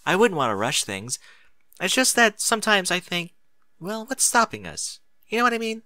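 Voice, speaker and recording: Storybook mare, adult, teasing and coy, bright and animated played by a male reader. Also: high voice, moderate pace, dry recording, voice pushed up, exaggerated voice. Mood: giggly, eager. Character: chatty, teasing adult mare, playfully impatient.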